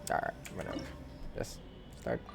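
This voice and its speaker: A man's voice: normal speed, loud music behind the voice. A man giving casual gameplay commentary as a game streamer.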